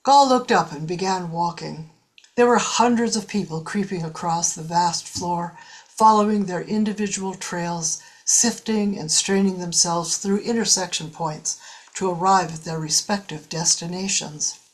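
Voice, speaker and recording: A woman's voice: fairly low pitch, a little slow. A woman narrating a science fiction story in a scripted, audiobook style.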